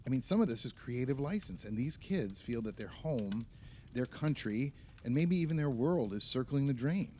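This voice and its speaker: Animated, opinionated man holding forth as an actor. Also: medium pitch, moderate pace, slight background noise, close to an everyday voice. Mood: earnest. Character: opinionated, nostalgic male film writer chatting among friends. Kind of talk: naturalistic audio play dialogue.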